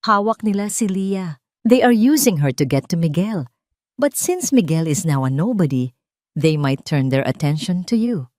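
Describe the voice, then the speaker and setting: A grave lawyer, played by a woman narrator speaks in a low, dry voice. He is steady, serious and protective, as in an audio drama. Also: normal speed, dry recording, lightly acted. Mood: serious, reassuring. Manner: serious, switches between Tagalog and English.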